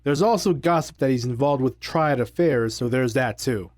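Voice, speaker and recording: A man playing the confident, wry leader of an adventuring trio in an audio drama, speaking in a measured, articulate way. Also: mid-range voice, a little mellow, no echo, studio quality, lightly acted. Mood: wry, scheming.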